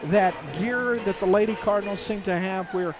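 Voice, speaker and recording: A man giving color commentary at a volleyball match, casual and halting. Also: high voice, over music, a crowd in the background. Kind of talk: sports broadcast commentary.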